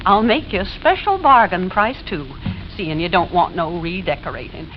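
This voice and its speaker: Woman acting a gossipy, animated old-radio landlady. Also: on the low side, moderate pace, soft background music, natural voice. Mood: friendly, prying. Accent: American. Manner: colloquial, homespun, chatty. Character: nosy, gossipy, folksy landlady, plain-spoken and skeptical. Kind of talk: 1940s radio drama acting. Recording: dull, noisy old radio recording.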